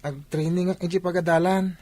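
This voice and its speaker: Actor as humble young son, eager athlete, Ilocano accent, higher male voice, radio drama. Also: fairly even intonation, brisk, dark tone, no echo, lightly acted.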